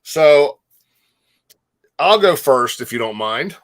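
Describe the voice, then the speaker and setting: A man's voice: medium pitch, expressive, slow pace. An American man hosting a casual talk show, going through listings and lists in a conversational way. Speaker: host presenting show listings and top-five lists. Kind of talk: casual chat.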